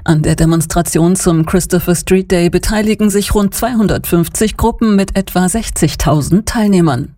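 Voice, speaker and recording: A woman's voice: fairly low pitch, moderate pace, few pauses, warm tone, no echo. A woman with a standard German accent reading scripted radio news as an anchor into a close studio microphone.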